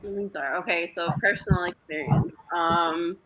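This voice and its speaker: A woman in her thirties, a Greek native speaker, talking openly as a podcast guest, with halting restarts. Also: lowish voice, steady tone, fairly bright tone, rough recording. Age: 30s. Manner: open, laughs easily, halting restarts. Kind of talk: casual podcast chat.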